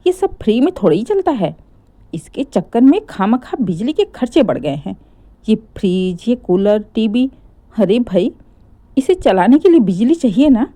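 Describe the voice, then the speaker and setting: A woman voicing a sharp-tongued older mother-in-law who complains and taunts in a Hindi family story. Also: a little high, very animated, moderate pace. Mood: scornful complaining. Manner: taunting, complaining, rhetorical questions.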